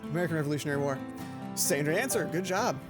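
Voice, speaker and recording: A playful video game host reads visual novel text aloud and comments along the way. The delivery rambles and often breaks off to restart, at a middling pitch between low and high, with game music clearly playing underneath. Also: very fast. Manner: rambling, self-interrupting, playful.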